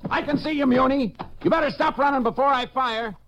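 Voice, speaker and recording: A man with an American accent playing a calm, shrewd, commanding Texas Ranger in a 1950s radio western, with a dry, probing delivery. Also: extremely high pitch, fairly quick, background noise. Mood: commanding, urgent. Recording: dull vintage radio recording.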